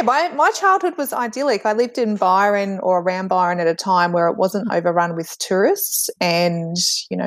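An Australian woman with a fairly low voice talks easily as a podcast guest. She is chatty and self-deprecating, and she often laughs at herself between stories. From northern New South Wales, Australia. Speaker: guest, writer and editor. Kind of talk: casual interview chat.

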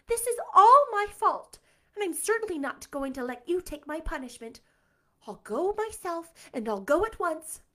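A high, swooping young girl's voice full of theatrical despair, as a woman reading aloud puts her on. She wails and frets breathlessly, her pitch leaping all over the place. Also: big pitch swings, normal speed. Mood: resolute.

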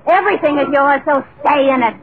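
A tinny, hissy vintage radio recording of a murderous daughter-in-law. Her voice is high and intense, full of resentment in melodramatic horror style. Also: a little fast. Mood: shrill, vengeful. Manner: intense, repetitive. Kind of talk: 1930s-40s radio horror acting.

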